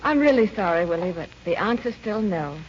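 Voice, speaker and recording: A woman's voice: mid-range voice, lively intonation, brisk, lightly acted. A woman playing a spirited, headstrong young lady with a breezy, teasing manner in an old-time radio drama. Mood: affectionate. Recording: muffled, faintly hissy old radio recording.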